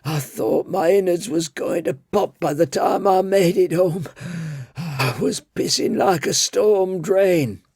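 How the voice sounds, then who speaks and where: A man voicing a bossy, foul-mouthed elderly office tea lady in a high, scolding voice. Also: slow pace, creaky, darkish tone, husky, no echo, clean studio sound, exaggerated voice. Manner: scolding, coarse, high put-on old woman's voice.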